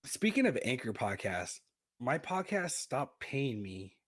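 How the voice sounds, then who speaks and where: A man's voice: medium pitch, sing-song, a little slow, a bit bright, dry recording, clean studio sound. A man who narrates stories, chatting as a podcast guest in a rambling, self-mocking way. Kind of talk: casual podcast chat.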